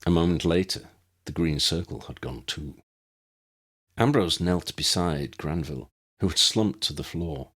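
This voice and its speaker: A man's voice: low voice, lively intonation, a little slow, fairly bright tone, breathy edge. A man reading scripted fiction as an audiobook narrator.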